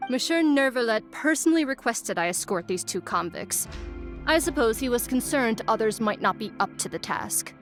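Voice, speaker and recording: Cheerful guard: higher voice, upbeat and teasing, music behind. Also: female voice, expressive, normal speed. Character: brisk, cheerful young female guard. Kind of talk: video game voice acting.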